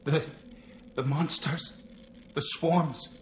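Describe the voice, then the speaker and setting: An actor playing a traumatized young alien man in a video game, speaking in halting, repetitive phrases. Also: fairly high pitch, steady tone, slight background noise. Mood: panicked, muttering. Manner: halting, repetitive, fixated, distracted. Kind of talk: video game cutscene acting. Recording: roomy echo, music underneath. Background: background music.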